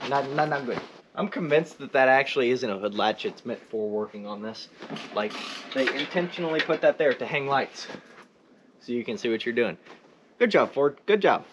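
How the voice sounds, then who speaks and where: A man's voice: medium pitch, expressive, moderate pace. A teenage man chatting casually and goofily to viewers as he works on a truck, joking at his own expense. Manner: goofy, self-joking, mimics sounds. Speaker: vlogger restoring an old truck. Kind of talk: casual vlog chat while working. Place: in and around a truck. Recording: clearly noisy background with clicks.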